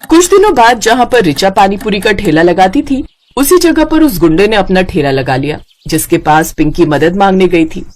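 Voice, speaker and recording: A woman's voice: mid-range voice, lively intonation, normal speed. A woman narrator reading a scripted story for children.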